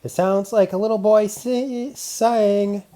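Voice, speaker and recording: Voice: medium pitch, steady tone, measured pace, mellow, voice pushed up, natural voice. A storyteller voicing a helpful, confident robot hero in a children's storybook. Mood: earnest, encouraging.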